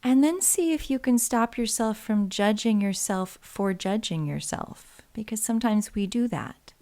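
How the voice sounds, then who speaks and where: A woman leading a guided body scan meditation in a soothing, gentle and unhurried way, leaving pauses between phrases. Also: mid-range voice, lively intonation.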